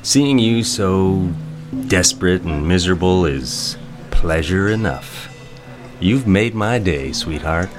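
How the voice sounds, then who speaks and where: A man's voice: sits low, lively intonation, slow pace, over music. An actor playing a mocking, spiteful man in a period melodrama, with a sneering, sarcastic way of talking. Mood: smug gloating. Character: mocking, spiteful man gloating over a woman's secret.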